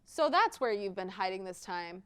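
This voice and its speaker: Lady reading as a loud teasing uncle from a kids' storybook, exclaiming, clear voice. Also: sing-song, normal speed, close to an everyday voice. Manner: booming, exclaiming.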